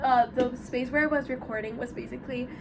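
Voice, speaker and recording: Brief: young woman, high bright pitch, chatty and giggly, background noise in the recording. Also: fairly even intonation, fast, gravelly. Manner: chatty, giggly, switches into Spanish. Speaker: band lead singer. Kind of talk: casual chat among bandmates.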